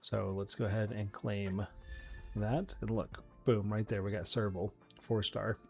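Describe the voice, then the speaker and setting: A man's voice: lowish voice, fairly even intonation, measured pace, gravelly, darkish tone, faint music behind. A man giving casual, explanatory commentary as he hosts a video game playthrough. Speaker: gaming video host.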